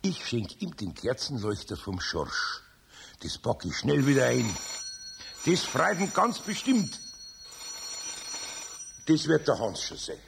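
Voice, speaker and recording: A man's voice: mid-range voice, sing-song, slow, vocal fry, pauses often, slight background noise, heavily acted. A man playing a kindly, patient older master carpenter in a radio play, with a Bavarian accent and a homely, hesitant way of talking. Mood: thoughtful. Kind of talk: 1970s children's radio play acting.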